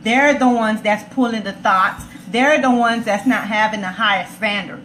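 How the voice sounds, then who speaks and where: A woman's voice: fairly high pitch, normal speed, fairly bright tone. An American woman giving her opinions in casual chat, assertively, restating points for emphasis. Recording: roomy echo, faint background noise.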